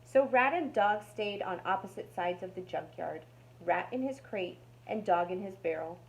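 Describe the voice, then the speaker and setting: A woman's voice: medium pitch, animated, normal speed, creaky, rough recording. A woman narrating a children's storybook aloud as a storytime reader.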